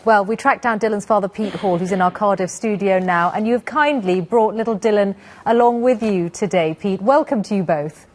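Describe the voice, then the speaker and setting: A woman's voice: mid-range voice, brisk, few pauses, a little mellow. A woman presenting the news in a studio and interviewing a guest, polished and lightly amused.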